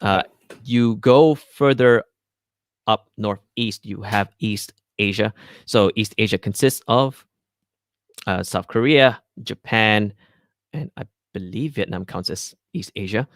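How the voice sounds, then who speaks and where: A man's voice: lowish voice, takes it slowly, dry recording, studio quality. A man from Malaysia, a guest on a podcast, who answers thoughtfully with frequent ums and restarts. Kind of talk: casual interview chat.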